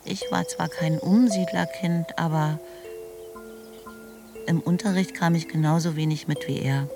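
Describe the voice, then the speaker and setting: A woman's voice: sits low, fairly even intonation, a little slow, gravelly. A woman playing a reflective first-person narrator in a German radio drama, recalling school days in a plain, understated way. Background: music.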